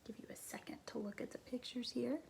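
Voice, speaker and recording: A woman reading a children's book aloud as narrator, expressively and with a slightly husky, breathy voice, explaining things to young listeners. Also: a little high, steady tone, brisk, a little mellow, in a whisper. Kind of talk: children's storybook reading with brief asides.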